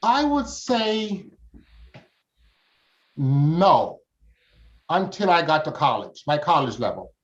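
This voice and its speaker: A man's voice: fairly high pitch, sing-song, slow pace, pauses often. An older man from Jamaica, answering as an interview guest in formal, flowery phrasing. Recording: dull, lo-fi audio.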